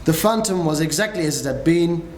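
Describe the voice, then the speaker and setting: A man narrating a classic story with grave, measured delivery in a dramatic reading. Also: a little high, animated, a little mellow. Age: young adult. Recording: echoey room, faint noise.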